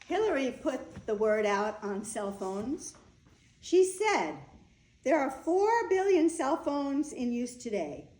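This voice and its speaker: A woman's voice: sits high, big pitch swings, slow pace, darkish tone, exaggerated voice. Echoey female wedding official, earnest woman acting. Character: wedding official who solemnly offers songs and quotations. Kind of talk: staged reading of a play. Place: indoor room, staged reading. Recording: echoey room.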